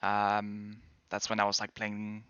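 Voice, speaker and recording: Young Danish man, bright and clear. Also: lowish voice, completely flat delivery, takes it slowly, no room sound, highs cut off. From Denmark. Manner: rambling, hedging, self-correcting. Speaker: game streamer and pro player. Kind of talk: casual chat answering viewers.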